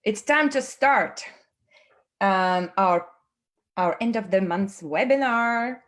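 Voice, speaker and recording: A woman's voice: medium pitch, lively intonation, slow pace. A woman from Latvia hosting an online team webinar, upbeat and chatty, with many fillers and restarts, speaking over a video call. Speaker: webinar host. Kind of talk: online team meeting presentation.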